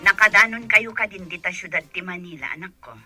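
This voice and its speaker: A woman's voice: medium pitch, a little fast, no room sound, soft background music. A woman playing a worried, doting mother in a radio drama, heard through a telephone filter. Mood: anxious, caring. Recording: thin, sharp phone line.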